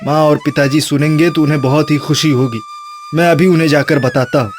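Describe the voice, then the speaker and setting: A man's voice: a little high, steady tone, brisk, soft background music, natural voice. A man voicing an earnest, hardworking younger son in a cartoon moral story, speaking sincerely and pleadingly. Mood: hopeful.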